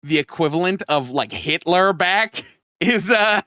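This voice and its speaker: Bright, animated man in slangy banter. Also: sits high, unhurried, no echo, clean studio sound. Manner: blunt, profane, slangy banter. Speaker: podcast co-host. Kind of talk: casual chat.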